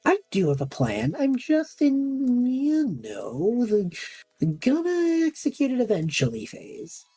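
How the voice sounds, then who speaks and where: A woman playing an anxious, sarcastic young male magic student in an audio drama, rambling, flustered and interrupting himself, with a husky voice. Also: on the high side, huge pitch swings, slow pace, warm tone, heavily acted. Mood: playful, defensive. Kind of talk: audio drama acting, monologue and banter.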